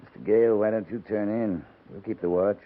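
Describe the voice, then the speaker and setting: Stern commanding officer for a 1950s radio western, played by a man: lowish, measured delivery, boxy vintage recording. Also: fairly even intonation, narrow, tinny audio, slight background noise, lightly acted. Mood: firm, controlled. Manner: measured, terse, authoritative. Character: stern, steady cavalry captain commanding a besieged detachment.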